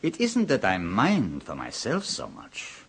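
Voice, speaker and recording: A suave, mocking host. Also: male voice, lowish voice, wildly swooping pitch, measured pace, fairly bright tone, full character voice. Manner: smooth, teasing, theatrical with chuckles. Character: Luigi, suave, mocking, worldly male host. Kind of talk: 1970s radio drama acting. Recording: old radio broadcast.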